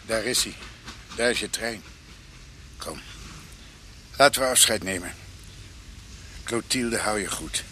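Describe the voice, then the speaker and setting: An actor playing a grave, devoted older doctor in a Dutch radio drama, with a husky voice. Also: male voice, lowish voice, slow, pauses often, sharp tone, slight background noise. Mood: tender farewell.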